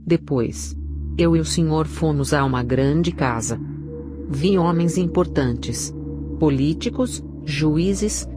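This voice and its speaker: A woman giving a scripted narration of a religious testimony in a solemn, measured way. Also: sits low. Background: background music.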